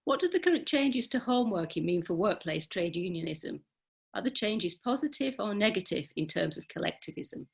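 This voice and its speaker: A woman's voice: mid-range voice, very animated, normal speed, vocal fry. A woman chairing an online academic panel, chatty and often filling her sentences with "you know". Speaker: session chair. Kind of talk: moderating a conference panel.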